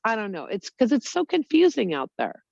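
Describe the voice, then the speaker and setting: A woman's voice: fairly high pitch, huge pitch swings, a little fast, creaky, darkish tone, dry recording, lo-fi sound. A woman talking earnestly in a casual group chat, rambling with lots of fillers. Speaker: Bible study group member.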